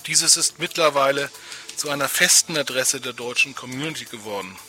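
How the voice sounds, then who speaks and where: Ein Mann moderiert als Gastgeber ein Live-Radiointerview in einem Studio. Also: male voice, medium pitch, a little fast, bright, forceful tone, soft background music. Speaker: radio host.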